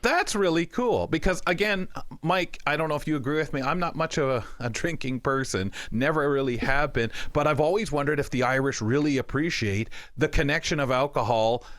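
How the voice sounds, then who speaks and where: A man's voice: on the high side, fairly quick, hardly any pauses, fairly bright tone, dry recording. Man co-hosting a chat show, close dry sound. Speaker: podcast co-host.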